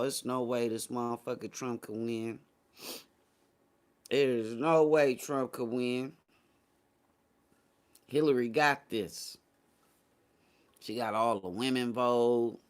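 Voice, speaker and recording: Blunt, slangy American man chatting casually. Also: medium pitch. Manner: blunt, opinionated, profane, slangy. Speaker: morning show host. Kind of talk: casual chat.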